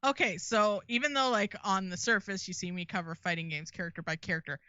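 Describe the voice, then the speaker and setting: A woman's voice: mid-range voice, fast talker, pushed and bright, dry recording, lo-fi sound. A woman guest in a casual interview, chatty and laughing often, with many fillers. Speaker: interview guest, fighting game creator.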